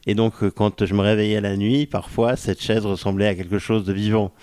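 A man hosting an interview, asking relaxed informal questions and giving brief agreeing responses. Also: on the low side, fairly quick, clean tone, no room sound. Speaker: interviewer host. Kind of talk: casual interview chat.

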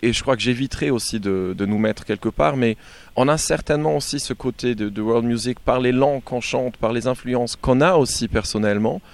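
A man being interviewed as a band singer, chatting casually and haltingly, often repeating words as he goes. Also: mid-range voice, gravelly. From born in Algeria.

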